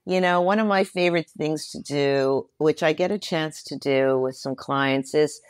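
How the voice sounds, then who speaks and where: Middle-aged lady in a casual interview, lowish voice, real earnest and reflective, dry sound. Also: normal speed, clear, resonant tone, dry recording. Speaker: podcast guest, performer and mentor.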